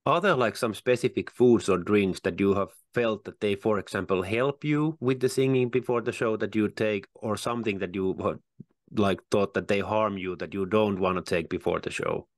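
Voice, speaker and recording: A man with a low voice puts long, filler-laden questions to his guest over a video call. The sound is dry, picked up by a handheld mic in a room at home. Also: normal speed, no echo. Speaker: interviewer. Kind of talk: interview questions. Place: room with shelves of CDs, indoors.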